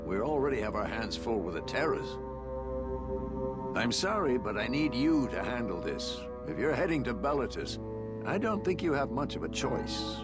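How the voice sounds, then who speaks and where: Casting: male actor as burdened commander, medium pitch, weary yet animated intonation, loud music. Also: moderate pace, natural voice. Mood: troubled, resigned. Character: burdened, stern military commander. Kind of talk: video game cutscene acting.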